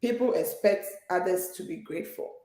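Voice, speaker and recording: A woman's voice: mid-range voice, a little fast, mellow. Ghanaian woman speaking in an echoey room. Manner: earnest, with frequent fillers and repeated words. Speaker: guest. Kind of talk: conversational interview.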